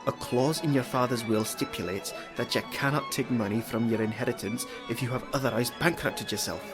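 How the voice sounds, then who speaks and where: An actor playing a loyal, cautious Victorian gentleman and adviser, speaking in a measured, formal way. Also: medium pitch, close to an everyday voice. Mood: concerned, firm. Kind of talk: theatrical period audio drama acting. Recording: music playing under the dialogue.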